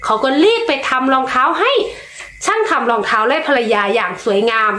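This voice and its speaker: A woman reading a children's story aloud, slow and lilting, putting expression into each line. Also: high voice, very animated, sharp tone, echoey room.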